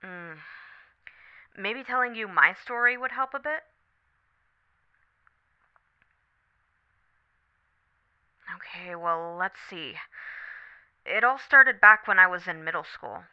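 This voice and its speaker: A woman playing a supportive, candid best friend on a late-night call in an ASMR roleplay, talking casually, gently and thoughtfully. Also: mid-range voice, expressive, a little slow, clear, resonant tone, dull audio, close to an everyday voice. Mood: caring, thoughtful, then reflective, vulnerable. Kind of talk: scripted ASMR roleplay, one side of a phone conversation.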